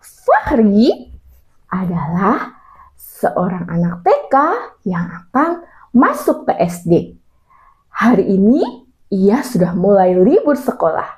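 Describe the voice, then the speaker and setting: A woman's voice: medium pitch, very animated, slow, long pauses, darkish tone. A woman telling a story for young children, often addressing her listeners directly with questions.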